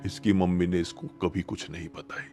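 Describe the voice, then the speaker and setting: A man's voice: low-pitched, fairly even intonation, moderate pace, mellow. A man narrating a horror story in a conversational, suspenseful way, repeating phrases for emphasis. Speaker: horror storyteller, channel host. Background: music.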